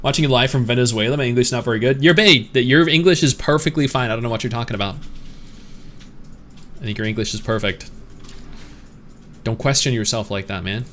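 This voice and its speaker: A man's voice: mid-range voice, quick pace, long pauses, fairly bright tone, slight background noise. A man streaming, chatting casually and opinionated as he reads and answers his live chat.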